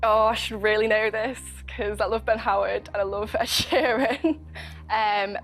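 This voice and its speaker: A woman's voice: medium pitch, fairly even intonation, unhurried, a bit bright, soft background music, rough recording. A woman of twenty, a medical student competing on a quiz show, giggling and thinking aloud as she hesitates. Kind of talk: game show chat.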